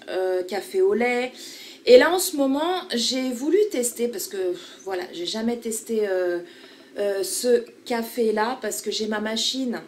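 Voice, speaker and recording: A woman's voice: mid-range voice, warm tone. A woman in her thirties with a French accent, chatting casually to her viewers as she shows her small living room, rambling with lots of fillers. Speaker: vlogger. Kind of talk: casual home vlog chat.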